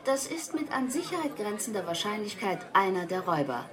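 A woman's voice: mid-range voice, sing-song, brisk, over music, lightly acted. A woman playing a formal television news interviewer on a crime special in a radio play. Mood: urgent appeal. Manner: formal, presenter-like.

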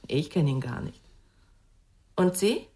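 A woman's voice: on the low side, expressive, slow pace, dark tone. An actress playing a curious, candid girlfriend of an investigator in a radio crime drama, conversational and questioning. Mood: curious, probing.

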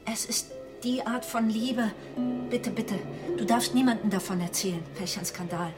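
A woman's voice: mid-range voice, moderate pace, over loud music, lightly acted. An actress playing an anxious, ambitious woman in a radio drama, pleading urgently and repeating herself. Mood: frightened, pleading. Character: anxious, ambitious woman photographer hiding a forbidden love.

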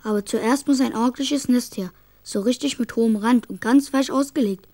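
A woman's voice: on the high side, normal speed, dark tone, lightly acted. A woman playing an earnest, curious young schoolboy in a children's radio drama, thinking aloud in a childlike way. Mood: thoughtful, determined. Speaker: actor in a radio play.

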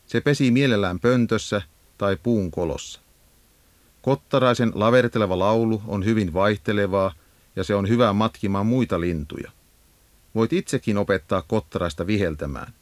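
A man with a fairly low voice narrates an educational nature guide from a script. His delivery is measured and instructive, explaining things step by step. The sound is close and dry, with hardly any room in it. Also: no echo. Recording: 1980s audio cassette narration.

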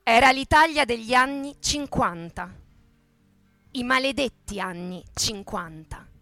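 Radio play narrator: female, forceful and high, fiercely emphatic, theatrical reading of revolt. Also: unhurried, no room sound, soft background music. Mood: grave. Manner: emphatic, declamatory. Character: impassioned female narrator of workers' revolt and hostile headlines.

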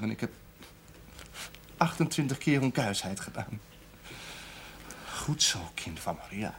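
A man's voice: medium pitch, natural voice. An actor playing a bitter, tormented young male sinner in a television drama, sarcastic and desperate, swinging from muttering to outbursts. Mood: sardonic, mocking. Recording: noisy 1970s TV soundtrack.

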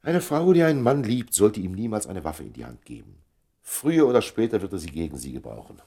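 An actor in a German radio play playing a worldly, shrewd older man who advises in a measured, pointed way. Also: fairly low pitch, very animated, gravelly, mellow, slightly rough, full character voice. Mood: cautioning.

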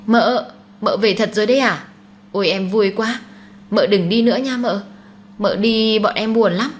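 A woman's voice: mid-range voice, normal speed, voice pushed up, lightly acted. A woman plays a young servant girl in an audiobook. She is affectionate and eager, chattering away. Background noise is audible. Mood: excited. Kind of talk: dramatised audiobook storytelling.

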